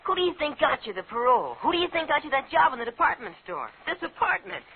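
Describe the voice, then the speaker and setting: A cocky young boy, pushy and eager to be a crook. His voice is high and very animated, heard through the thin sound of a 1950s radio thriller. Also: quick pace, narrow, tinny audio, full character voice. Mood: boastful. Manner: brash, insistent. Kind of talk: 1950s radio crime drama acting. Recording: hissy, band-limited old radio broadcast.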